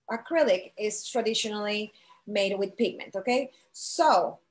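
A middle-aged woman giving a live instructional demonstration as an art teacher, speaking in measured, halting phrases and checking in with her listeners. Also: a little high. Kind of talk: live instructional demo, explanatory.

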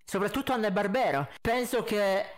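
A man's voice: sits high, moderate pace. A man, giving casual, critical commentary as a reaction video host into a close microphone. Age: 20s. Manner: critical, explanatory. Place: indoor home streaming setup with green screen. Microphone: close condenser mic on boom arm with pop filter.

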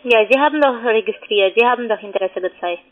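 A woman's voice: fairly high pitch, quick pace. A woman, not a native German speaker, making a formal, clipped sales call. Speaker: cold caller. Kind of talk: phone sales pitch. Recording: tinny phone line.

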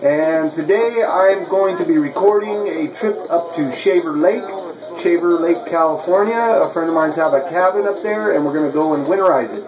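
A man's voice: a little high, moderate pace, a crowd in the background, low-quality recording. A man in his thirties chatting casually to the camera on a road trip, recorded inside a moving car. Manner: loud upbeat greeting to camera, then relaxed rambling with laughs. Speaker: vlogger. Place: indoors at first, then inside a moving car.

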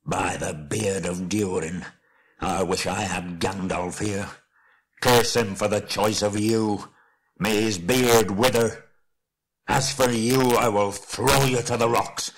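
A male narrator performs a proud dwarf king from a fantasy storybook. The voice is husky and low, with an imperious, bitter delivery full of wrath. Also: fairly even intonation, slow pace, exaggerated voice. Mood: furious.

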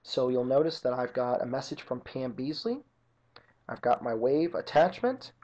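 A man narrating an informal software tutorial as an instructor, explaining each step methodically. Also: medium pitch, sing-song, moderate pace. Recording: dull lo-fi computer screen-recording audio.

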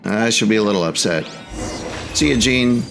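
A man's voice: on the low side, moderate pace, vocal fry. A man hosting a gaming video, giving casual play-by-play in short, mild exclamations. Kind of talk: casual let's play commentary. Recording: game music behind the voice. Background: video game music.

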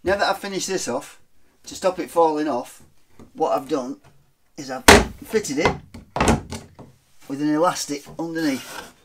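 A man in a garage workshop, calmly talking through how he built his boat step by step, recorded on a handheld phone. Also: a little high, measured pace, pauses often, background noise. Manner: plain, matter-of-fact step-by-step talk. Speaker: hobby boat builder. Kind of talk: casual DIY explanation.

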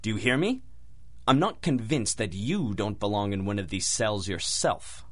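A haughty asylum chief from a 1930s radio drama. His voice is fairly low and swings widely. He is stuffy and formal, quick to irritation and indignation. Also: animated, a bit bright. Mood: indignant.

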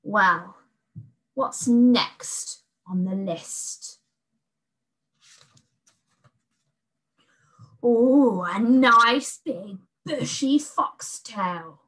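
Storybook beast, hungry and huffy, slow growling delivery; a woman acts it. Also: on the high side, fairly bright tone, rough recording. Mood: demanding. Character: hungry grumpy male soup-cooking beast.

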